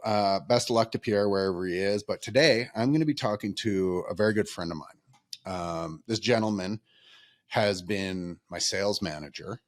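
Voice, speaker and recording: A man's voice: low-pitched, no echo, clean studio sound. A man hosting a podcast interview, rambling and friendly with many ums and restarts. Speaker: podcast host. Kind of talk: casual interview chat.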